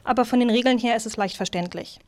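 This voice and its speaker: A woman in her late twenties talks in a fairly high voice. She is chatty and relaxed, poking a little fun at herself as she describes her hobby. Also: fast talker. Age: 20s. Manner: chatty, self-deprecating, easygoing. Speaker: table football player. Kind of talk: interview statements.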